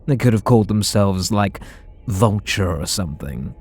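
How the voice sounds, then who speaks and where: A man's voice: fairly low pitch, lively intonation, moderate pace, a little mellow, slightly rough. A man voicing a bitter, sarcastic supervillain in an ASMR roleplay audio drama, talking in a sardonic, mocking way. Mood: sneering. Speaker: voice actor.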